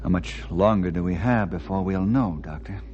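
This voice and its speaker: A sincere, respectful male ranger played by an actor in a radio adventure from the old days. His low, steady voice comes through a dull recording with clear background noise. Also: moderate pace, natural voice. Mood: concerned, quiet. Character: earnest, devout, respectful male ranger assisting a doctor. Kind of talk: old-time radio acting.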